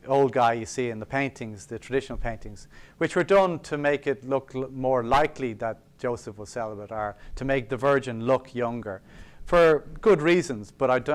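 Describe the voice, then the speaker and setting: Male sculptor speaking at a lecture, mid-pitched and resonant, reflective and sincere, dry humor about himself. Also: smooth. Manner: earnest and reflective, with self-deprecating asides. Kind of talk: public lecture on sacred art. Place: college auditorium.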